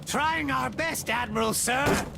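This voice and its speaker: Very high-pitched, servile underling. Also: male voice, unhurried, creaky, background noise, heavily acted. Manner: obsequious, parroting in short exclamations. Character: servile, eerie underling of a mad admiral. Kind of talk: dramatic video game acting.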